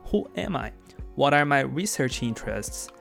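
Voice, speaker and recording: A man's voice: mid-range voice, sing-song, normal speed, vocal fry, fairly bright tone, soft background music. A man in his twenties from Brazil with a Brazilian Portuguese accent, a native Portuguese speaker, hosting a solo podcast in a chatty, enthusiastic way with restarts and asides. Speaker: podcast host.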